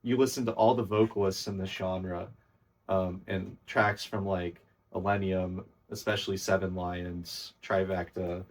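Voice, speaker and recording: A man's voice: low-pitched, level tone, measured pace. A man chatting casually on a podcast about music production, enthusiastic and complimentary, rambling a little. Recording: voice a bit distant from the mic.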